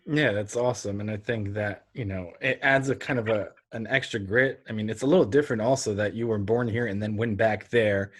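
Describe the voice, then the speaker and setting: A man's voice: lowish voice, fairly even intonation, brisk, hardly any pauses. A man from Ukraine, a guest on a podcast, talking in an easygoing, reflective way. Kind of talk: casual chat.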